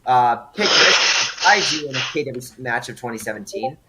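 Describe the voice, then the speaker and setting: Halting male host reading results. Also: medium pitch, lively intonation, noisy background. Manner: halting, many fillers and restarts. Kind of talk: casual group chat over voice call.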